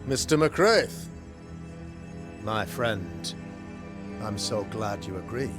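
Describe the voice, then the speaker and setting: An actor playing a pompous, self-righteous colonial governor and preacher, speaking in formal, oratorical period language in a video game. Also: male voice, lowish voice, lively intonation, takes it slowly, over music. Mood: flustered, smooth. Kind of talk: theatrical period drama sermonizing.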